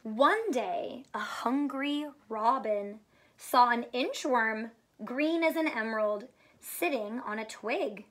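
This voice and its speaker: High-voiced, slow-paced woman teaching children. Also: expressive. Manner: explanatory, asks the listener questions. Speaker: teacher reading aloud to children. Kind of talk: children's story read-aloud with simple teaching. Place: small furnished room at home, indoors.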